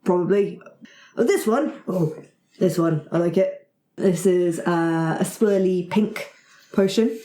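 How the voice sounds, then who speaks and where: A woman's voice: fairly low pitch, slow pace, warm tone, reverberant. A woman voicing a male goblin alchemist who hobbles around his shop, chatty and fussy, with a hesitant, rambling sales patter. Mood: eager salesman.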